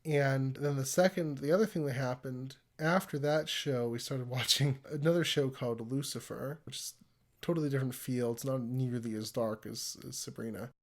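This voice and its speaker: A man hosting a podcast, sounding congested from a cold, telling stories in a rambling, animated way. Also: medium pitch, moderate pace. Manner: rambling, animated storytelling with laughs. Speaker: podcast host. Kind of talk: casual conversational podcast.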